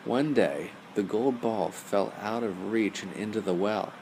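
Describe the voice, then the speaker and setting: Man, bedtime storyteller, low pitch, creaky voice, simple telling for young listeners, faint background noise. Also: unhurried, darkish tone, poor audio.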